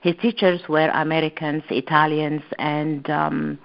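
Lower-voiced storytelling woman host, muffled. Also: measured pace, creaky. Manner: storytelling, animated, many fillers. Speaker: podcast host. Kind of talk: casual interview chat.

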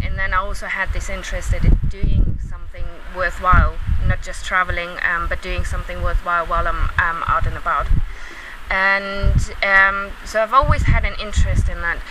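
German woman, distant on a very noisy recording. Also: mid-range voice. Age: late 20s. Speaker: interview guest, volunteer lecturer. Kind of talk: casual, reflective interview answers. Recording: very noisy, distant mic, clicks.

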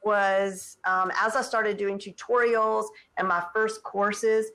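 A woman of middling pitch talks as a guest on a podcast. She makes online courses and speaks in a chatty, conversational way, with lots of ums and uhs. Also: fairly even intonation, slow pace, fairly bright tone. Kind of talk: podcast interview.

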